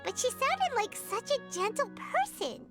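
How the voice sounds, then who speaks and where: A woman's voice: very high voice, very animated, measured pace, full character voice. A woman voicing a tiny, curious female companion creature in a game, chirping short questions. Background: game music.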